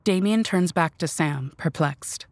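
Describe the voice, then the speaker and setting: A woman's voice: lowish voice, a little slow, a little mellow, no echo. A woman reading scripted narration for an audio drama.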